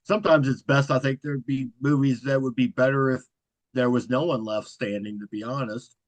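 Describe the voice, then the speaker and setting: A man talks as a podcast guest in an easygoing, level delivery that he calls monotone. His voice sits at a middling pitch. The sound is close and dry, with no room around it. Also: normal speed, no echo. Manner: self-described monotone, even delivery. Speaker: guest, horror content creator. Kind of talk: casual interview chat.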